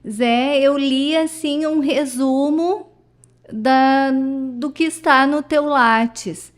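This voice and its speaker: Slow-paced, high-voiced Brazilian woman interviewer. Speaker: radio interviewer, host. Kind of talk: conversational interview.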